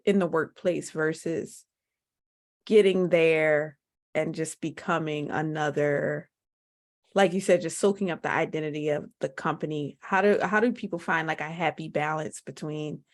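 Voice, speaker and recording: A woman's voice: sits low, fairly even intonation, unhurried. A woman hosting a podcast interview, asking long, searching questions in a conversational way. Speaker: podcast host.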